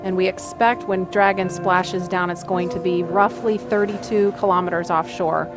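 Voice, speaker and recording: A woman's voice: on the low side, fairly even intonation, normal speed, over music. A woman hosting a live launch broadcast, commentating and raising her voice to carry over the room. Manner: raising voice over cheering crowd. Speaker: launch webcast host. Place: indoor room with cheering crowd.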